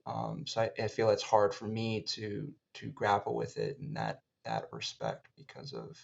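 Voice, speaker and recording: A man hosting a conversational podcast, speaking in a wordy, halting, reflective way. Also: on the low side, very animated, creaky, dull audio. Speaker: podcast host.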